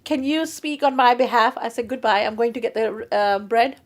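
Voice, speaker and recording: A woman, a guest telling stories in a casual conversation, chatty and animated and acting out what people said. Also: fairly high pitch, normal speed. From England. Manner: chatty, animated storyteller who acts out quoted speech.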